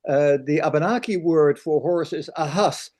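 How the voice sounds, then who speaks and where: A man's voice: fairly high pitch, normal speed, darkish tone. Older man, rambling question. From Netherlands. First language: Dutch. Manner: rambling, many fillers. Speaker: audience member asking a question. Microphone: video call.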